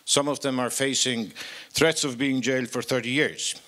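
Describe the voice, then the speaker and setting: A man with a medium-pitched voice introduces a guest speaker as an event host. His delivery is informal, measured and a little hesitant. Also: steady tone, pushed and bright.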